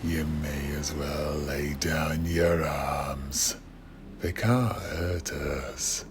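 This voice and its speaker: A man voicing a menacing old undead pirate captain, courteously cruel, speaking deliberately in a breathy whisper. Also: a bass voice, fairly even intonation, noisy background, pitched below the performer's own voice, full character voice. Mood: menacing, condescending. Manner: slow, deliberate, mock-affectionate.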